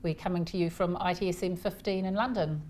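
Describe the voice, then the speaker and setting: Low-voiced woman hosting a podcast. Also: steady tone, fast talker, a little mellow, a little hiss or hum. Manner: friendly, chatty, with frequent agreeing murmurs. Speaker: podcast host. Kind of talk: conversational podcast interview. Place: conference venue.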